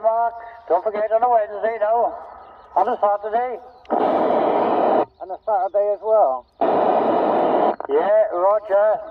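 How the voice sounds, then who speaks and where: Distant, static-heavy CB radio male, high voice, casual operator relaying calls. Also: phone-quality sound. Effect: CB radio transmission.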